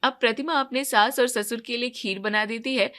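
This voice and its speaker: Female narrator for scripted family drama stories, bright and fairly high-pitched. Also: fairly even intonation, normal speed. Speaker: story narrator.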